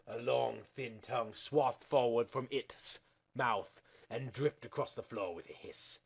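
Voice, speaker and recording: A man narrating a story in an overdramatic, theatrical way, swinging between hushed and loud delivery. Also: on the low side, creaky. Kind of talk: theatrical dramatic reading of comic fan fiction.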